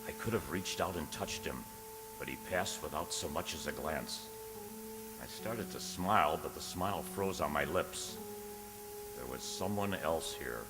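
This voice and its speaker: A man's voice: rumbling low, expressive, measured pace. A man playing a secretive, easily unnerved poet, narrating his diary in a literary, theatrical radio drama style. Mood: unnerved, hushed. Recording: reverberant radio play with music underneath.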